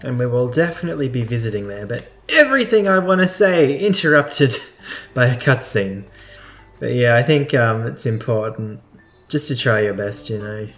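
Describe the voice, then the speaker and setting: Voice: medium pitch, sing-song, normal speed. Casting: let's play host, informal gameplay commentary, faint game music underneath.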